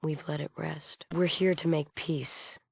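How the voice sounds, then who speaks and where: A principled woman leading a peace movement in a post-apocalyptic game. Her voice is lowish with a gravelly creak, recorded very dry. She sounds earnest, formal and firm. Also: steady tone, a little slow, no room sound, full character voice. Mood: earnest, resolute. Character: earnest, resolute female leader of wasteland peace movement. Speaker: game character. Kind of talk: scripted video game dialogue.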